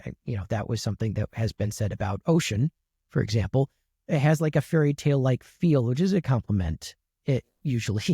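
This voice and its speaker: A man with a mellow, relaxed voice interviews a guest in a casual way. His questions ramble and circle tentatively. The sound is very dry, with no noticeable room. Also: mid-range voice, breathy edge, dry recording. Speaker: interviewer, host.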